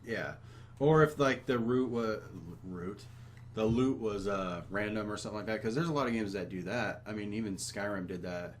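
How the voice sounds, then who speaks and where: A man's voice: fairly low pitch, normal speed, a little hiss or hum. A man chatting casually about games as a podcast co-host, offhand and profane. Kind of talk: casual gaming chat.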